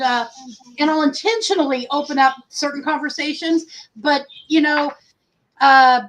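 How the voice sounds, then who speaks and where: High-voiced woman with a big voice. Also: fairly bright tone, poor audio. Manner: rambling, self-correcting, many pauses. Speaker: host of a livestream. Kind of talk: casual livestream chat.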